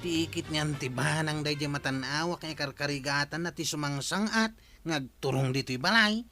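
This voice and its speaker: A woman with an Ilocano accent playing an excitable, meddling village neighbour in an audio drama, exclaiming and chattering. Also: deep voice, moderate pace, over music, natural voice. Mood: puzzled, concerned. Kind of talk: Ilocano radio drama acting. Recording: clear background noise.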